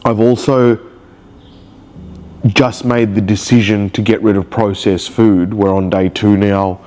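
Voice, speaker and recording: A man's voice: fairly low pitch, flat delivery, a little slow, darkish tone. Stuffy-nosed man rambling emotionally. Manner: rambling, emotional, searching for words. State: nasal from a cold. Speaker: vlogger. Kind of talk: confessional video diary.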